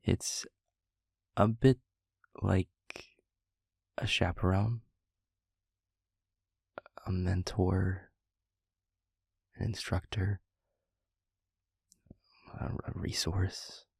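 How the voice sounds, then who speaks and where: A man playing a gentle, thoughtful demon mentor in an intimate audio roleplay, speaking softly in a breathy, half-whispered voice with measured, hesitant pauses. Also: deep voice, steady tone, dry recording, clean studio sound, heavily acted. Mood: reflective, earnest.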